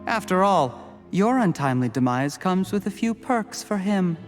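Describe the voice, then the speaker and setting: An actor playing a cold, calculating official turned poisoner in a video game, gloating in measured, mockingly polite monologue. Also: male voice, high-pitched, lively intonation, soft background music. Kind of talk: scripted video game voice acting.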